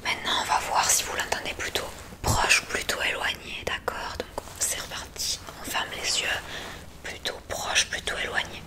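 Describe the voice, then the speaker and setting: A woman whispering gently and breathily close to the mic, giving soothing, reassuring instructions in an ASMR medical exam roleplay. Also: normal speed. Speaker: ASMR creator playing an examiner in a roleplay. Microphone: close ASMR microphone.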